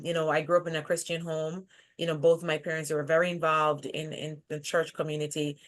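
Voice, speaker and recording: A woman's voice: low-pitched, a bit bright. Jamaican woman in conversation. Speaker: podcast guest, leadership coach. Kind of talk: conversational interview.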